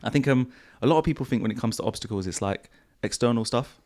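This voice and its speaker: A man hosting a podcast in a casual, relaxed way, with a croaky voice and slangy, repetitive phrasing. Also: mid-range voice, quick pace. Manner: relaxed, repeats himself, slangy. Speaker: podcast host. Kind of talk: casual podcast chat answering viewer questions. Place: home studio.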